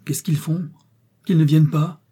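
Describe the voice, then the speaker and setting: A man as an anxious, husky mill hand. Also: fairly low pitch, fairly quick, warm tone, natural voice. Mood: desperate. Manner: distressed, pleading exclamations. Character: anxious, easily shaken male mill hand, loyal underling.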